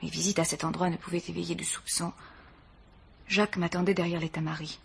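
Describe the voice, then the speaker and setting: An actress playing a grieving, dignified bourgeois mother in a radio mystery, speaking formally in a measured, sorrowful way. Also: on the low side, level tone, creaky, a little hiss or hum. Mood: sorrowful, restrained. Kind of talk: French radio mystery drama acting.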